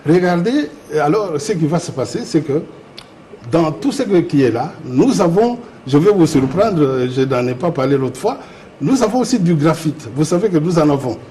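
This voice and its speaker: Man, mellow tone, emphatic explainer, faint background hiss. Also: on the high side, sing-song, moderate pace. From Democratic Republic of the Congo. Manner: explanatory, emphatic, repeats words while searching. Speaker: guest, metallurgist and industrial chemist. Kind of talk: interview discussion.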